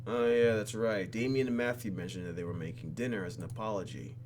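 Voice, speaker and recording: A man's voice: on the low side, fairly even intonation, quick pace, darkish tone, slight background noise. A man doing playful, exclamatory gameplay commentary as he reads a visual novel aloud, with faint music behind him. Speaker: let's play host.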